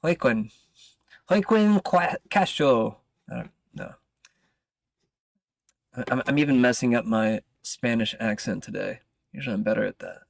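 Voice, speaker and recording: An American man with a gravelly, creaky voice works through news items like a casual streamer. He reads aloud haltingly and breaks off into informal asides, recorded close and dry. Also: mid-range voice, no room sound. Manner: informal, halting, often interrupts himself with asides. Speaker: streamer and commentator. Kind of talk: casual livestream news commentary, reading articles aloud.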